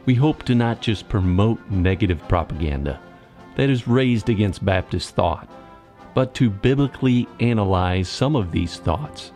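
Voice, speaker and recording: A man reading a scripted podcast intro in a measured, formal way. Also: fairly low pitch, darkish tone. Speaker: podcast announcer. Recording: music bed under the voice.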